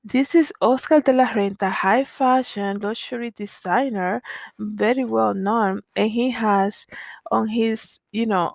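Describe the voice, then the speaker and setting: A woman's voice: medium pitch, vocal fry, dry recording. A young adult woman from the Dominican Republic, a fashion designer telling a personal story in casual chat, full of "you know" fillers and restarts.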